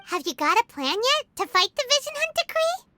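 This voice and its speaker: A woman voicing a tiny, childlike, excitable fairy companion in a video game, with a squeaky voice. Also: fairly quick, no echo, heavily acted. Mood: eager, surprised. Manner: excitable, refers to herself in the third person. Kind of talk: animated video game voice acting.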